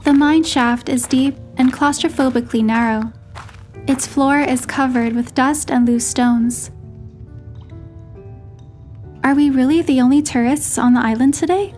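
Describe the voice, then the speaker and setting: A woman's voice: high-pitched, steady tone, moderate pace, darkish tone, faint music behind, natural voice. A woman playing a young heroine who narrates her romantic story in the first person, in a scripted storytelling style. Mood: gentle storytelling.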